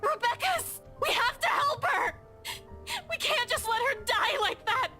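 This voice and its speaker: A woman voicing a distraught young woman in a visual novel, pleading in a shrill, tearful voice. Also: unhurried, pushed and bright, soft background music, full character voice. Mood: anguished, tearful.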